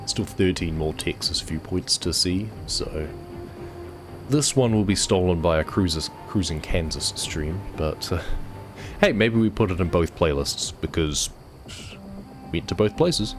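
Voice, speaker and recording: Man, hoarse post-cold voice, very low pitch, easygoing game-stream chatter, music playing underneath. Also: unhurried. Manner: relaxed, chatty, talks to himself while driving. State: hoarse, voice nearly gone after a cold. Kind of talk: casual gameplay commentary.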